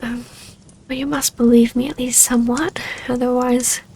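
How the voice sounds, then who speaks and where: A woman with a fairly high voice and a strong creak plays a wistful vampire baroness in an ASMR roleplay. Her soft, hesitant delivery stays within a narrow pitch range, intimate and tender. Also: flat delivery, moderate pace, slight background noise.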